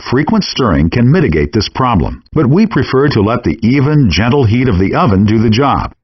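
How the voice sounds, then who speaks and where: A man's voice: mid-range voice, sing-song, brisk, slightly rough. A man voicing a chef guide in a cooking game, explaining techniques step by step in scripted instructional narration. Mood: instructive, matter-of-fact. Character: male chef guide giving practical kitchen tips. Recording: dull lo-fi game audio.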